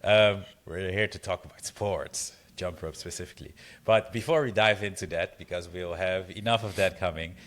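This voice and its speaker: A man's voice: deep voice, moderate pace, hardly any pauses, a bit bright. A man hosting a podcast, upbeat and friendly, reading a polished intro and asking questions. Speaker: podcast host.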